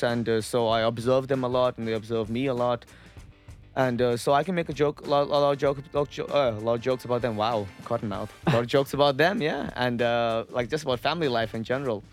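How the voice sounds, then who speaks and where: A young man with an Indian accent, a stand-up comedian chatting as a radio guest, rambling with lots of fillers and restarts. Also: medium pitch. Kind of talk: casual radio chat. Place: radio studio.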